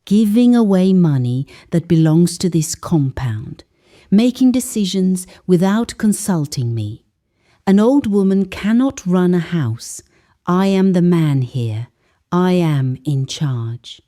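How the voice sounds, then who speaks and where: A woman puts on an arrogant village man, a cruel grown son from a folktale. The voice is low and dark, with a slow, self-righteous delivery that lays down the law. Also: expressive. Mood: self-righteous boasting.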